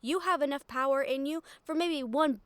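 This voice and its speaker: Woman acting a high-voiced wry fantasy thief. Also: dry recording, natural voice. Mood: frank, worried. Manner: dramatic pauses, sighs, sardonic. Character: wry, foul-mouthed female shadow thief, nervous but frank. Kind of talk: fantasy audio roleplay addressed to listener.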